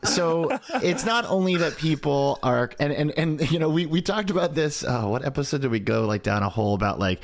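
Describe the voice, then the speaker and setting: A man's voice: a little high, expressive, brisk, creaky, hardly any pauses, a crowd in the background. A man in his thirties hosting a podcast, explaining things in a conversational way. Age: 30s. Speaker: podcast host.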